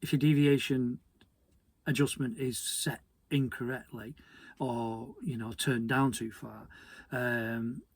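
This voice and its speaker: British guy doing a casual techy explainer, husky and croaky, kinda halting, repeats himself. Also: medium pitch, fairly even intonation. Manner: conversational, hesitant, repeats words. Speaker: hobby radio presenter. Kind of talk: informal technical explainer.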